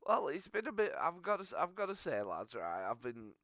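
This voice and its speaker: A man's voice: fairly high pitch, sing-song, fairly quick, fairly bright tone, no room sound, clean studio sound. A man from Northern England with a Northern English accent, hosting a casual podcast chat while bunged up with a cold, rambling in stop-start sentences. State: congested with a cold. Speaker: podcast host.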